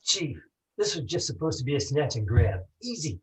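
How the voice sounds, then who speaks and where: Man acting noir radio crook, slangy tough-guy talk, mid-pitched voice, lovestruck, resigned. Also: sing-song, normal speed, natural voice, poor audio. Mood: protesting. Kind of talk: 1940s noir radio acting, live community theatre.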